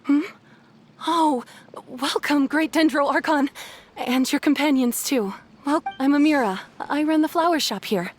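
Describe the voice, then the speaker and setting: A woman's voice: high voice, measured pace, a little hiss or hum. A woman voicing a cheerful, friendly florist and affectionate wife who speaks in a welcoming way in a video game.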